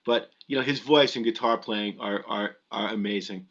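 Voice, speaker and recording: A man's voice: lowish voice, normal speed, fairly bright tone. A middle-aged man hosting a live stream, giving a casual introduction in an effusive, emphatic way. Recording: dull lo-fi web stream audio.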